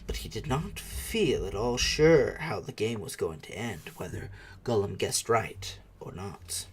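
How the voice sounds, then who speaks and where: A man narrating a storybook dramatically, moving theatrically between hushed and emphatic delivery. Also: on the low side, animated, unhurried, a little hiss or hum. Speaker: audiobook narrator.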